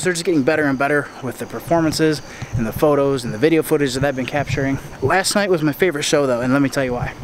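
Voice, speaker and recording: A young American man talking casually and earnestly to camera as he walks, recorded on a noisy street outdoors. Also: medium pitch. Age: young adult. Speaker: tour videographer and vlogger. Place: walking on a town street at night. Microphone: camera at arm's length.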